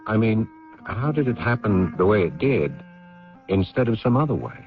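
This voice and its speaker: A dull old radio recording with faint music carries a dutiful elder brother. He is a low-pitched man, weary and put-upon, speaking with musing hesitation. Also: sing-song, moderate pace. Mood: reflective. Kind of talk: radio drama acting, first-person narration and dialogue.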